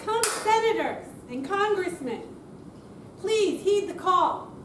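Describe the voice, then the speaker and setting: A woman's voice: extremely high pitch, lively intonation, slow. A woman performing in readers theater, reciting verse lyrics. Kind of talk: dramatic recital of song lyrics. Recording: distant echoey room, faint noise.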